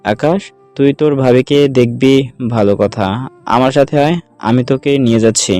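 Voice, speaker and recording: A man's voice: medium pitch, moderate pace, a little mellow, no echo, faint music behind, natural voice. A man playing kindly, watchful men of a household in an audio story. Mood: caring. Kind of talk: Bengali audio story acting.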